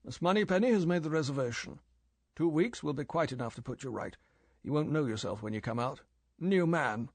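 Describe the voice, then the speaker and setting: A man's voice: a little high, animated, moderate pace, no room sound, voice pushed up. A man reading an audiobook, voicing M, a stern older male spy chief who lectures in a clipped, scolding way. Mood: brisk cheerful.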